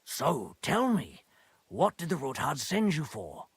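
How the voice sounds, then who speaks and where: An actor playing a gruff, wary medieval horse keeper in a video game, with husky, grudging, rustic speech. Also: male voice, a little high, wildly swooping pitch, takes it slowly, no room sound, full character voice. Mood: softening. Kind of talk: video game dialogue acting.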